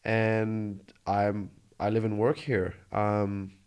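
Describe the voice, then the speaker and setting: Young guy from jordan, kinda low voice, talks earnest and thoughtful, restarts a lot. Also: flat delivery, extremely slow, darkish tone, clean tone. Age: 20s. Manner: earnest, reflective, with frequent restarts. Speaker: interview guest. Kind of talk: casual conversational interview. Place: Australia.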